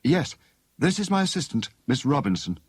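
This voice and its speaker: A man voicing a brisk, confident gentleman detective in an audiobook, authoritative and courteous. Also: fairly high pitch, very animated, slightly rough, no room sound, pitched above the performer's own voice. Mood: courteous, businesslike.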